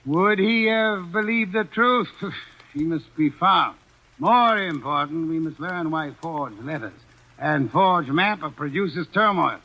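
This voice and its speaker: A man's voice: on the high side, animated, slow, bright, forceful tone, heavily acted. A man playing a stout, imperious male detective in a 1940s radio drama, precise and commanding, on a dull old recording.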